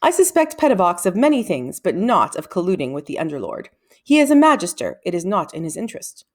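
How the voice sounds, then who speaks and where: A woman's voice: lowish voice, sing-song, brisk. A woman voicing a brusque, imperious older male wizard in a children's storybook, speaking in a stern, formal, clipped way. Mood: firm, dismissive. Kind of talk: children's storybook read-aloud.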